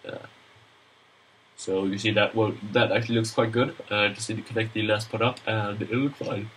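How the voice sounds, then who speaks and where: A man's voice: lowish voice, steady tone, normal speed, a bit bright, a little hiss or hum, rough recording. A young man with a Swedish accent, nasal from a cold, narrating a screen-recorded modeling tutorial in a rambling, chatty way with many fillers. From Sweden.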